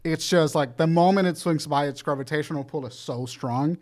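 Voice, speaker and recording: A young man in his twenties with a somewhat raised pitch, explaining with heavy emphasis. He drops profanity freely in relaxed podcast banter. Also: a little fast. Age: 20s. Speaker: podcast co-host. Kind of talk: casual chat.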